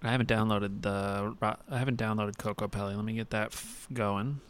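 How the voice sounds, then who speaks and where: A man's voice: on the low side, steady tone, brisk, smooth. A young man co-hosting a podcast in casual chat, rambling with many restarts and crude jokes, talking into a mic with headphones on. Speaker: podcast co-host.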